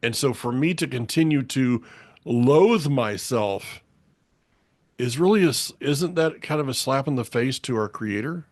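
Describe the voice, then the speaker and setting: A man's voice: mid-range voice, expressive, a little slow, a little mellow, no echo. Southern guy chatting about faith, rambly folksy way of talking, dry sound. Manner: folksy, meandering, many restarts. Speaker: podcast host. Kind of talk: casual faith conversation.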